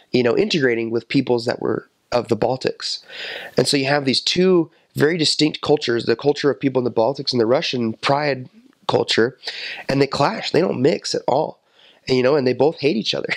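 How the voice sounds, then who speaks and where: A young man in his twenties talks casually about his time as a missionary, with lively delivery and plenty of "um" and "you know". His voice sits at an ordinary middle pitch. The lapel-mic sound is close and dry, with no room echo. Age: 20s. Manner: animated, talks with his hands, many fillers. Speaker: returned missionary. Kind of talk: casual interview answers. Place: indoor office with window.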